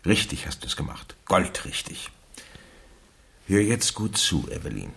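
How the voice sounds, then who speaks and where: An actor playing a methodical, encouraging gentleman who explains a case in a radio crime drama, husky and emphatic. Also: low-pitched, big pitch swings, unhurried, exaggerated voice. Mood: encouraging, intent.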